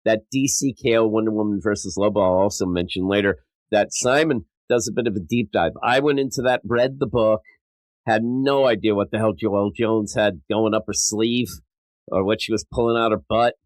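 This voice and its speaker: A sick, stuffed-up man hosting a podcast in a rambling, self-mocking way, his voice a little rough and wavering. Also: lowish voice, normal speed, dry recording, low-quality recording. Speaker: podcast host. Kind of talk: casual podcast monologue.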